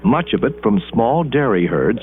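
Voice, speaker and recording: Animated American documentary man, old film sound. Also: medium pitch, background noise. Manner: measured, deliberate phrasing with dramatic pauses. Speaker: narrator. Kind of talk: scripted educational documentary narration. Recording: muffled band-limited 1980s film soundtrack. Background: background music.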